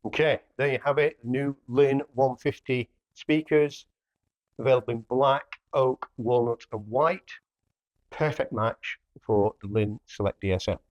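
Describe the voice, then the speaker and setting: A man's voice: mid-range voice, no echo, dull audio. A man giving a casual product demonstration as a hi-fi dealer, plainly explaining each step as he works. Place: indoor hi-fi showroom.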